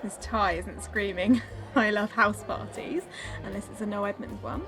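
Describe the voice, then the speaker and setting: A woman's voice: mid-range voice, moderate pace, over music, lightly acted. An actress playing a teasing, flirtatious woman who is insecure and wounded underneath, in a radio drama. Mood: flirty, teasing. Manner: teasing, confessional.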